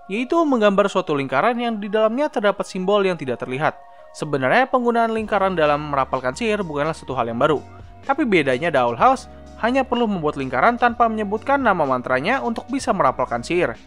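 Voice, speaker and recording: Fast, animated young man. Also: high-pitched. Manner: informal, chatty. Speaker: video essay host. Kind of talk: scripted explainer narration with casual asides. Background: background music.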